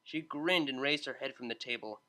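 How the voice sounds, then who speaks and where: A man narrating a fan-written story in a steady, measured reading. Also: mid-range voice. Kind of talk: fanfiction audiobook narration.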